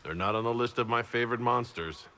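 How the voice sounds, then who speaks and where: Man playing a bright-voiced, composed elf hero. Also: medium pitch, fast, slight background noise, close to an everyday voice. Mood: dry, curious. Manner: composed, dry, questioning. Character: male elf hero leader, composed, dryly witty. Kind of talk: video game dialogue.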